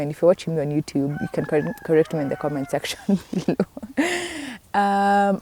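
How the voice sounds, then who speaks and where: Girl in her 20s rambling on her podcast, low mellow voice, lots of ums. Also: a little hiss or hum. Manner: rambling, chatty, many fillers and restarts. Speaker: podcast host. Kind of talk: casual solo podcast talk.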